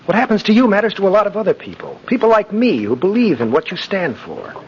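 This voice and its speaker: An actor playing an earnest, loyal friend in a 1950s radio drama, speaking persuasively. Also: male voice, high-pitched, big pitch swings, quick pace, husky, heavily acted. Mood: earnest, urging. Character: earnest, loyal man pleading with an old friend. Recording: muffled old radio broadcast, faint noise.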